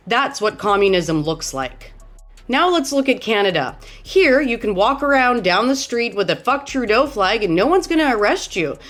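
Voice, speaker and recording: A woman from Romania giving blunt, exasperated political commentary to camera, often tagging her points with "okay?". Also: on the high side.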